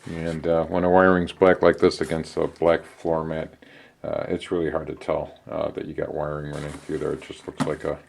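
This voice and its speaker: A middle-aged man giving a casual hands-on how-to demonstration in an unhurried, conversational way with hesitant pauses. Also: very deep voice, completely flat delivery. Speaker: DIY channel host. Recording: clear background noise.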